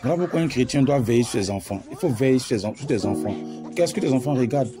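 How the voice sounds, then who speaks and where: A man delivers an evangelist's warning in an ordinary mid-range voice. He is emphatic and repeats his phrases for weight. Guitar music plays clearly behind him. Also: mid-range voice, animated, quick pace, mellow. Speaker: evangelist, channel presenter. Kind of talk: preaching, warning address.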